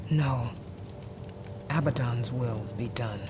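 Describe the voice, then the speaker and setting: A woman voicing a brooding tyrant devoted to a dark god in a video game cutscene, speaking with grave fervour. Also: rumbling low, takes it slowly, heavy background noise. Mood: grave devotion. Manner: grave, fervent.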